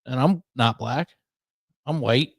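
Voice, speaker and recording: An American man with a medium-pitched voice hosts casual talk radio. He is easygoing and informal, talking as if to regular listeners. The recording is very dry. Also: slow pace, creaky, slightly rough, no room sound. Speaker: radio show host.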